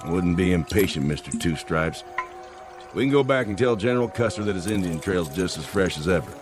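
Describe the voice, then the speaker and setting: An actor playing a weathered frontier army scout with a dry, laconic manner. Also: male voice, low-pitched, lively intonation, fairly quick, over music. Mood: wary. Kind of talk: radio drama acting.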